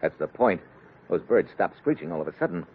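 An actor playing a tough, wary American secret agent in an old-time radio adventure, terse and hard-boiled. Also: male voice, deep voice, sing-song, a little fast, slight background noise. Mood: tough, commanding. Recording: muffled vintage radio recording with music.

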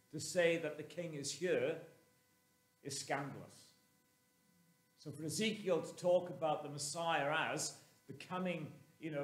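A man in his sixties preaching a sermon as a clergyman, with measured, emphatic phrasing and pauses, recorded inside a church. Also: on the high side. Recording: roomy, echoing church sound.

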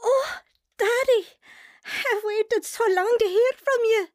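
A woman's voice: extremely high pitch, takes it slowly, no echo, clean studio sound. A woman playing a vulnerable, earnest young wife and mother in a radio drama. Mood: troubled, earnest. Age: young adult. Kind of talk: radio drama acting and first-person narration.

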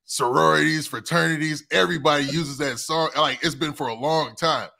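Bright-voiced man hosting an interview. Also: fairly high pitch, fairly even intonation, normal speed, creaky. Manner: eager, affirming, asks leading questions. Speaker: interview host. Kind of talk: casual interview chat.

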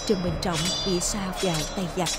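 A woman's voice: fairly low pitch, steady tone, moderate pace, loud music behind the voice. A woman giving scripted historical narration as the narrator of a story.

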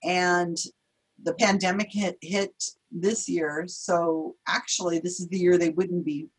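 An American woman in her fifties talks casually over a video call, her voice husky and fairly low. She chats freely, laughs easily and lets sentences trail off. The sound is that of a webcam mic in a home room. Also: steady tone, unhurried, rough recording. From United States. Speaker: podcast host. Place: home room with shelves and curtains.

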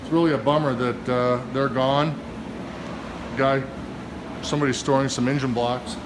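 A man's voice: medium pitch, steady tone, moderate pace, noisy background. A middle-aged man, an HVAC service manager, walks through an old machine room and talks casually and admiringly about the equipment into a handheld phone. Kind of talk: casual walkthrough narration. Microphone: phone camera held in hand.

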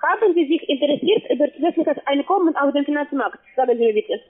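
A woman with a foreign accent, not a native German speaker, giving a halting sales pitch on the phone as a call-center agent in broken grammar. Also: lively intonation. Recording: tinny, narrowband phone line.

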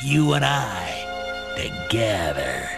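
An actor playing a menacing reptilian tyrant, with seductive, drawn-out delivery, in a radio play. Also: male voice, fairly low pitch, sing-song, creaky, over music, full character voice. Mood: seductive menace. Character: menacing reptilian dinosaur-descended male tyrant. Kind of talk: radio play villain acting.

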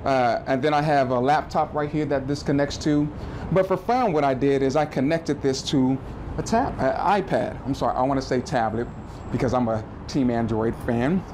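A man in his thirties leads a relaxed tour, chatty and friendly, pausing to correct himself now and then. His voice sits at an ordinary middle pitch. A faint hum of outdoor traffic runs underneath. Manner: friendly, chatty, with small restarts and asides. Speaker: planetarium manager giving a tour. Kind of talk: informal guided tour explanation. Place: rooftop observatory outdoors, then indoor exhibit room.

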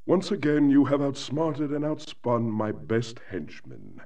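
Slow, pompous mad scientist villain. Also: male voice, medium pitch, very animated, darkish tone, recorded from a distance. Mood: mock calm. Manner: theatrical, pompous, self-pitying. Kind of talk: video game cartoon villain acting. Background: game music.

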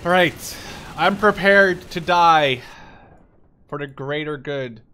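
A man in his twenties giving casual, rambling, sweary gameplay commentary in an excitable way. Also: a little high, slow, clean tone. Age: 20s. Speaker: gamer, video host. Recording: loud game gunfire behind the voice. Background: gunfire and machine guns from game.

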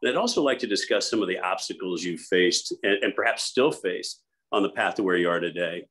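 Male moderator, very low voice. Courteous, slightly halting, hands questions to panelists. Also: animated, fairly bright tone.

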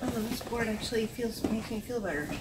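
A woman's voice: a little high, lively intonation, a little fast. A middle-aged woman playing the patient in a first-aid drill, giving short answers. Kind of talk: role-played short answers. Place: indoor ski patrol room. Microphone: camera a few steps away. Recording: very noisy room, camera microphone.

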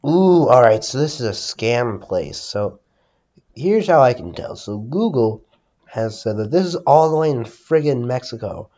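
A man's voice: mid-range voice, sing-song, measured pace, mellow, lo-fi sound. A young man chatting casually and swearing as he addresses viewers during prank calls. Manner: informal, sweary. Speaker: prank caller, video host. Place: at a home computer. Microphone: computer microphone. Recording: noisy home desktop mic with clicks.

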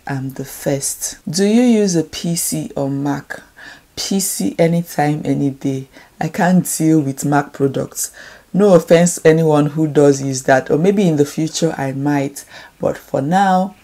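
A woman's voice: low voice, mellow. A young Nigerian woman with a Nigerian accent, chatting casually to camera as she reads out and answers questions in a home room. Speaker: beauty YouTuber.

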